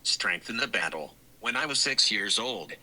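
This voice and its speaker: A man's voice: lowish voice, animated, normal speed, pushed and bright, low-quality recording. A synthetic man's voice reading text and descriptions aloud, like a screen reader.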